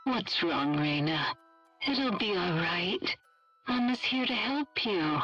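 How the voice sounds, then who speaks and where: A woman's voice: fairly low pitch, lively intonation, slow, fairly bright tone, heavily acted. An actress playing an earnest, urgent scientist mother who heads a laboratory in a dramatic video game. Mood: tender, worried. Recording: dull lo-fi sound, music underneath.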